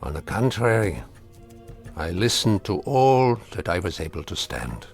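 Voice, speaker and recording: An actor playing a wry, defiant old man in a radio drama, measured and dryly ironic. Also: low-pitched, big pitch swings, faint music behind, heavily acted.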